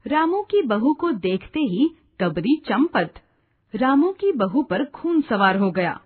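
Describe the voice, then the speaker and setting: Phone-like recording of an animated woman storyteller. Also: a little high, slow, husky. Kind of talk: scripted story narration in a literary register. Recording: phone-like, narrow-band sound.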